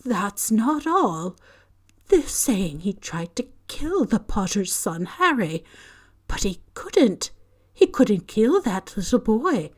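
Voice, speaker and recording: A stern older witch, sharp and pressing, her voice pitched up and loud with worry, as a woman reading the story puts her on. She pushes her questions urgently, the words trembling. A storybook schoolmistress on edge. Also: expressive, a little slow. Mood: anxious. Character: stern older witch professor, anxious and emotional.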